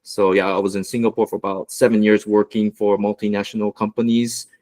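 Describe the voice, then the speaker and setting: A man from Los Angeles giving an online business presentation as a guest mentor, with a relaxed delivery and many fillers. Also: on the low side, a little fast. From United States, Los Angeles.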